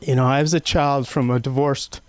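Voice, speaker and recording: A man's voice: medium pitch, steady tone, normal speed, clear, resonant tone. A man doing open mic stand-up, rambling and self-deprecating with many fillers. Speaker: stand-up comic. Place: comedy club stage.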